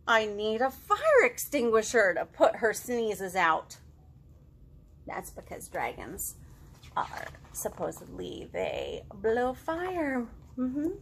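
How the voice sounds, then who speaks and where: A woman in her thirties leading a storytime for young children, expressive and encouraging, with simple child-directed phrasing. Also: mid-range voice, husky. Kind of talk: animated children's storytime, reading aloud and chatting to young children. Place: living room at home.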